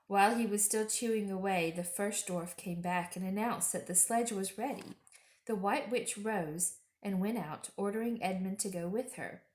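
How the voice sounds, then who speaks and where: Female storyteller for children's read-aloud: mid-range voice, measured, expressive delivery, noticeably roomy recording. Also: mid-range voice. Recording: roomy home echo.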